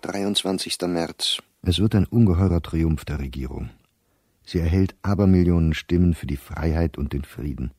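Deep, dark-voiced diarist, very dry sound. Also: male voice, normal speed, husky, no echo. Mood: sober, resigned. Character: anxious middle-aged male diarist reading his diary. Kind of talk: dramatic reading of a diary for radio.

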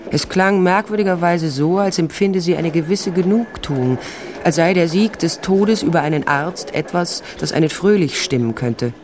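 An actress playing a determined, wry private investigator, narrating a crime drama in the first person, dry and matter-of-fact. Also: female voice, on the low side, moderate pace, noisy background, natural voice. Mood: sober, dry. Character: resolute, wry female private investigator narrating her case.

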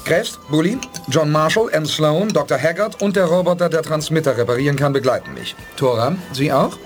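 An actor playing a calm, decisive male spaceship commander who reasons things through in a measured way, in a German radio play. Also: fairly high pitch, faint music behind, close to an everyday voice. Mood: steady. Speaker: lead character.

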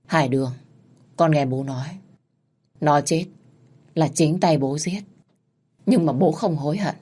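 A woman voicing a despairing middle-aged father who speaks in a heavy, quiet, pleading way. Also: sits low, unhurried, husky. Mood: grim, resigned.

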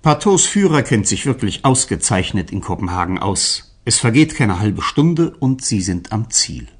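Narrator for a detective radio play, raspy, really animated scripted storytelling. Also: male voice, on the low side, normal speed. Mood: narrating.

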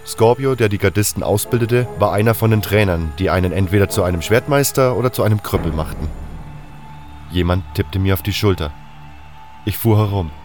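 Low-voiced man narrating an audio drama. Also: fairly quick. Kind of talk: scripted first-person audio drama narration. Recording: music under the voice.

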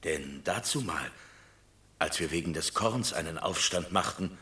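An actor playing a grumbling Roman commoner with a gravelly voice in a classic radio drama. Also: male voice, low-pitched, lively intonation, a little slow, bright, forceful tone, exaggerated voice.

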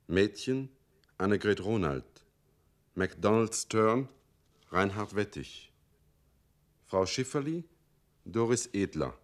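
A man formally announcing the title and cast of a radio play in a deliberate, list-like reading. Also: on the low side. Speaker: credits announcer.

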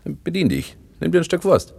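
An actor playing a blunt, bossy young rag collector who shares a room, speaking curtly and barking orders in a radio play. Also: male voice, a little high, very animated, moderate pace, vocal fry, darkish tone, breathy edge, exaggerated voice. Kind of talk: 1960s radio play acting.

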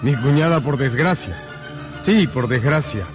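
A medium-pitched male voice of an actor plays a stern nobleman in a radio drama. He sounds authoritative and formal, with faint music behind. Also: lively intonation, measured pace, close to an everyday voice. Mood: grave, businesslike.

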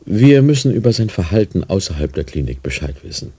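An actor playing a condescending, scheming clinic doctor in a German radio play, with a gravelly, husky voice. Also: male voice, sits low, sing-song, brisk, dark tone, exaggerated voice. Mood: condescending, evasive.

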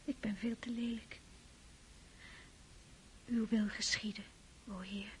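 An actress playing a lonely, despairing young woman praying in a hushed, breathy voice in a hissy radio drama. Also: a little high, steady tone, takes it slowly. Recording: hissy old radio recording.

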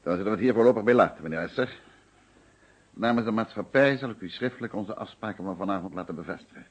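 A man's voice: lowish voice, animated, quick pace, breathy edge, poor audio. An actor playing a courteous, sociable male insurance representative in a Dutch radio play, speaking formally and chattily with little tag questions. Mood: cordial, businesslike.

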